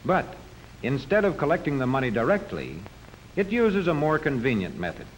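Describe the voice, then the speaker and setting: A man's voice: on the high side, very animated, moderate pace. A man narrating a 1940s educational film from a script, explaining step by step in a didactic manner. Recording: noisy 1940s film soundtrack.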